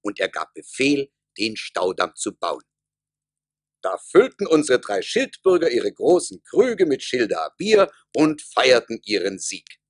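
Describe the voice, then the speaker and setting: Husky, lively male storyteller. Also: mid-range voice, a little slow, no room sound, studio quality. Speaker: narrator. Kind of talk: storytelling narration for a radio play.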